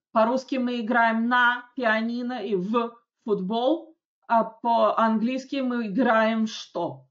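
A woman teaching an English grammar lesson, explaining rules in a chatty way with frequent checks and slow, careful example sentences. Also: medium pitch. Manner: reads English examples slowly and distinctly. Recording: dull lo-fi audio.

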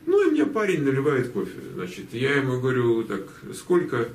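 Middle-aged man, lively intonation, echoey room. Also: mid-range voice, brisk. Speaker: interviewer and channel host. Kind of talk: casual chat, anecdotes. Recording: echoey room, distant mic, faint noise.

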